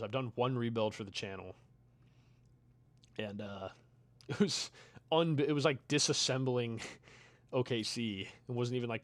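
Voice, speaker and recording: A young man talks loosely with his viewers as he plays, his voice worn and getting tired. He drifts into low mumbles and asides, then picks back up in quick bursts. The sound is close and dry, with no room echo. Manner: rambling, trails off, mumbles and quiet asides between bursts. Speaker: streamer reading chat. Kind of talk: casual chat while playing a video game.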